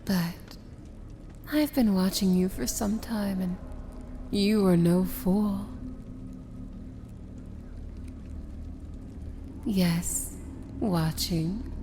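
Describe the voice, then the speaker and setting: A woman's voice: medium pitch, warm tone, slight background noise. A woman playing an imperious, amused princess of evil in a fantasy ASMR roleplay, speaking in a theatrical, sardonic manner. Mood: cool, warning. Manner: slow, theatrical, grandly sardonic.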